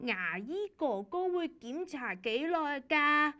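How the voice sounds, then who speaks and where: A woman's voice: sits high, sing-song, takes it slowly, bright, forceful tone, full character voice. A woman playing an eager, pestering little preschool boy in a children's puppet show, with a Hong Kong Cantonese accent and a childlike, insistent delivery. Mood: curious. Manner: childlike, insistent, repeats himself.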